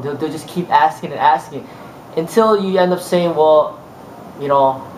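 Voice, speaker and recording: Martial arts teacher, man, slightly high voice, loose rambling talk, roomy sound. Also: a little hiss or hum. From United States. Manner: rambling, many fillers and restarts. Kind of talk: casual monologue.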